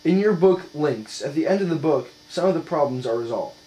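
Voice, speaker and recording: A man's voice: fairly high pitch, lively intonation, brisk, mellow. A man working as an interviewer, putting scripted questions in a formal, polite manner. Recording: echoey room with mains hum.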